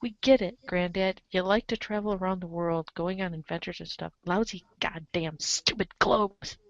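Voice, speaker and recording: Theatrical low-voiced woman reading aloud. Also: moderate pace, dry recording, muffled recording. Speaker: reader in a reader's theater show. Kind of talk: animated dramatic reading of a webcomic, in second person.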